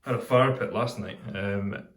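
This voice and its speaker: A Scottish man chatting casually in a video review, rambling and hesitant with many pauses and ums. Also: fairly low pitch. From Scotland. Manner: pauses while lighting a pipe. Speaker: pipe tobacco reviewer.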